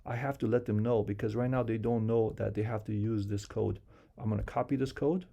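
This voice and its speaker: A man's voice: on the low side, fairly even intonation, a little fast, creaky, dark tone. A man giving a casual, unscripted screen-share tutorial in simple, repetitive step-by-step instructions. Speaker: software tutorial presenter.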